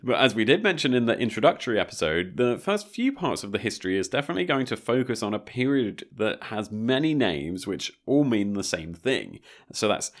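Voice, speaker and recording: Man, somewhat low voice, chatty storyteller, podcast co-host. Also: big pitch swings, a little fast. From southern England. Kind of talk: casual chat.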